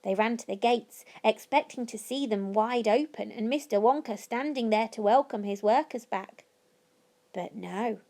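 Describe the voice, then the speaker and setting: A woman reading a children's story aloud as narrator, with expressive, deliberate phrasing. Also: a little high. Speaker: story reader.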